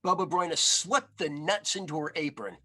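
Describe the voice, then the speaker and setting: A man reading a children's storybook aloud as an expressive narrator. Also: fairly high pitch, normal speed.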